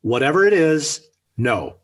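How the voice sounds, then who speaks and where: A man's voice: a little high, big pitch swings, a little slow. An actor playing a stern, sardonic middle-aged father in a 1940s radio sitcom. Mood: stern refusal. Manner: stern, dry, put-upon. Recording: vintage 1940s radio broadcast.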